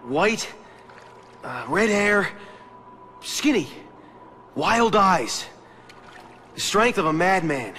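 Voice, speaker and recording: A man's voice: high-pitched, sing-song, very slow, long pauses, bright, forceful tone, a little hiss or hum, heavily acted. An actor playing a weak, frightened captive salesman in a video game, stammering and pleading. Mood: anxious, explaining. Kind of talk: video game cutscene acting.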